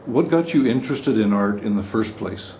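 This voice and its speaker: A man's voice: lowish voice, animated, moderate pace, darkish tone, a little hiss or hum. A man asking short, plain interview questions.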